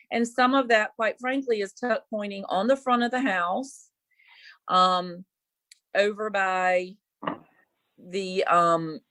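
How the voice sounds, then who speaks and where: Slow-talking woman, close dry sound. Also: medium pitch, a bit bright, no echo. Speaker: applicant, homeowner at a public hearing. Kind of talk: informal explanation at a public meeting. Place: remote call into an online meeting.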